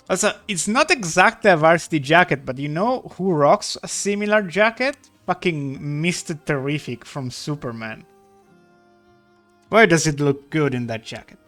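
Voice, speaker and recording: A man's voice: on the high side, lively intonation, a little slow, sharp tone, faint music behind. A man streaming live, chatting casually and rambling while he draws. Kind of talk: casual chat while drawing.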